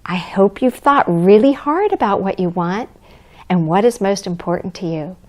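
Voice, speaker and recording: Woman playing Santa's kindly wife, storybook reading for children, gentle, expressive, very unhurried pace, lightly raised pitch. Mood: tender, hushed. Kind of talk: children's storybook reading, addressing young listeners.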